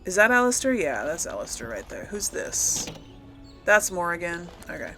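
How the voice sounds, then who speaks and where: Bright, creaky-voiced female gamer. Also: fairly low pitch, very animated, moderate pace, soft background music. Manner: musing, thinking aloud. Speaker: gaming video host. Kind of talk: casual role-play game commentary.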